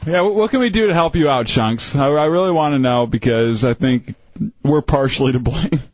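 A man's voice: a little high, expressive, a little fast, darkish tone, no echo. A man co-hosting a radio show, joking in a mock-earnest way. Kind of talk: casual radio banter. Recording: dry lo-fi radio recording.

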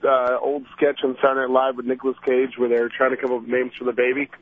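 A man's voice: mid-range voice, monotone, fast, narrow, tinny audio. A man calling in to a radio show, eagerly retelling a comedy sketch. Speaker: caller. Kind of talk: phone-in storytelling.

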